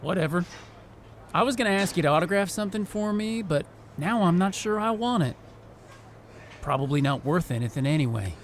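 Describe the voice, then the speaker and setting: A man playing an eager, talkative young American rookie wrestler in a video game cutscene, chatty and excitable. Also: sits high, brisk, a little hiss or hum. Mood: offended.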